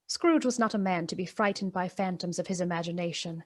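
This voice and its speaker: A woman narrating a radio play as a storyteller, deliberate, suspenseful and emphatic. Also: lowish voice, close to an everyday voice. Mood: measured, wry. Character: storyteller of a gloomy Victorian ghost tale. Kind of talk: scripted dramatic storybook narration.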